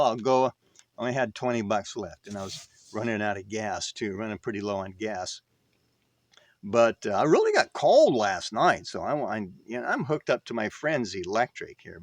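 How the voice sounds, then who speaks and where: A man's voice: medium pitch, no echo. Folksy older man, rambling storyteller, dry sound. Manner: folksy, self-deprecating. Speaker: vlogger. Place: inside an RV.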